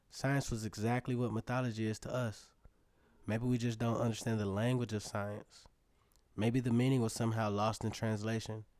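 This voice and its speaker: A man's voice: lowish voice, darkish tone, no echo, natural voice. A man playing a curious, adventurous young storyteller, narrating a scripted audio drama in the first person.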